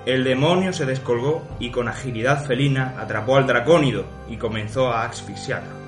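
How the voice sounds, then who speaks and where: A man with a medium-pitched voice delivers dramatic scripted narration for a fantasy adventure. He sounds like a storyteller and game master. The room is echoey and music plays behind him. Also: brisk.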